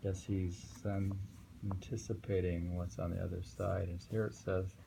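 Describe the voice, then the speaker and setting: A middle-aged man reading poetry and commenting on it casually, musing in an unhurried way and repeating phrases. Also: sits low, little pitch movement, gravelly, mellow. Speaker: host reading and discussing poetry. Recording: home recording with faint background noise.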